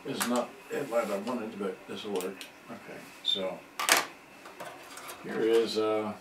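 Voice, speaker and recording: A middle-aged man thinking aloud in a halting, rambling way during a casual live repair demonstration. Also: lowish voice, fairly even intonation. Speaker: hobbyist electronics repairer on a livestream. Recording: distant mic, echoey room, background noise.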